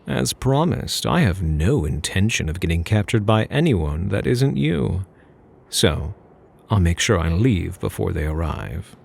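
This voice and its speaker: Casting: male actor for playful, smug gentleman thief, ASMR roleplay; gravelly, very animated delivery, teasing, flirty, mock-courteous. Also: low-pitched, exaggerated voice. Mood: coaxing, assured. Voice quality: husky, gravelly. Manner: teasing, mock-polite, theatrical.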